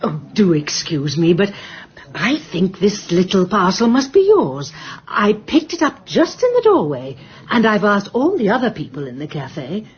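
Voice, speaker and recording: An actress playing a prim, resourceful English spinster sleuth in a radio drama, speaking in a fussy, genteel and precise manner. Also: female voice, medium pitch, sing-song, unhurried, breathy edge, dull audio, full character voice. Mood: politely coy. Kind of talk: British radio drama acting.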